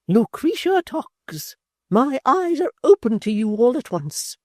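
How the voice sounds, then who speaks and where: Woman voicing a high, shrill, indignant society lady for an audiobook, slow theatrical scorn. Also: wildly swooping pitch, darkish tone, dry recording, clean studio sound, exaggerated voice. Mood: scornful. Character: haughty indignant middle-aged lady scolding her friend. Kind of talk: audiobook character voice.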